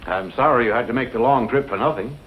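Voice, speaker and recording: An actor playing a courteous, talkative city attorney in an old film, speaking formally and precisely. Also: male voice, medium pitch, fast talker, close to an everyday voice. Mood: formal, regretful. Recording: muffled, noisy old film soundtrack.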